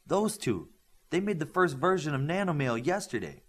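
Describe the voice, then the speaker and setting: A man's voice: a little high, very animated, normal speed, fairly bright tone, voice pushed up. Man reading as eager young superheroine scientist. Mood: excited, confident.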